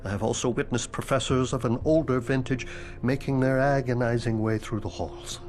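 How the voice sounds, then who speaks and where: A man's voice: mid-range voice, normal speed, close to an everyday voice. A man as a dry, macabre ghost headmaster. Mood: wry. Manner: dry, formal, morbidly deadpan, drops into whispers. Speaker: dead former headmaster. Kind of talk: video game cutscene dialogue. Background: music.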